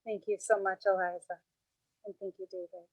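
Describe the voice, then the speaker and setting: A woman officiating at a funeral, formally introducing speakers with gentle, halting pauses. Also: mid-range voice, flat delivery, breathy edge, no room sound, rough recording.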